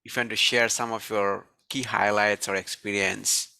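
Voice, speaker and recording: A man's voice: lowish voice, little pitch movement, normal speed, creaky, sharp tone, smooth. A man hosting a podcast interview, asking loose, rephrased questions full of fillers. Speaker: podcast host. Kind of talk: casual interview.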